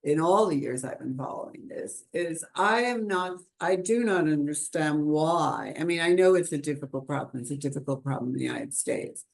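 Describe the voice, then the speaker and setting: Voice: medium pitch, creaky. A panelist on a video call, talking in a chatty, rambling way with frequent laughs and restarts. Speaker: webinar panelist. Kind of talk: conversational panel discussion. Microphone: computer mic on a video call.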